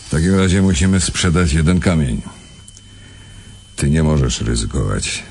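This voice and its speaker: A deep-voiced, domineering crime boss behind bars in a radio play. His intonation swings dramatically as he commands and scorns those around him. Faint noise sits behind the voice. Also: expressive, moderate pace, a little mellow. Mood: brooding, wary. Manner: commanding, contemptuous, barking orders. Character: imperious, irritable male crime boss serving time in prison.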